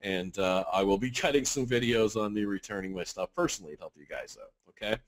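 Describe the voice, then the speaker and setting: A man giving a casual business webinar update, working through percentages out loud with a reassuring, rambling manner. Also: fairly low pitch, fast. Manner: casual, talks math out loud, frequent fillers and restarts, reassuring. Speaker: company leader on webinar.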